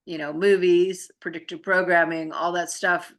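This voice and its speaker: A woman's voice: on the low side, level tone, normal speed. A woman in casual conversation, explaining her ideas in a rambling, reflective way with trailing phrases.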